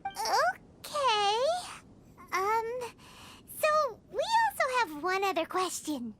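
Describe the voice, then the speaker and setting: A woman's voice: extremely high pitch, huge pitch swings, slow pace, sharp tone, slight background noise, full character voice. A woman voicing a tiny, childlike, curious fairy companion in a cartoonish video game style, blunt in manner. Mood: curious, puzzled. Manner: childlike, refers to self by name, blunt.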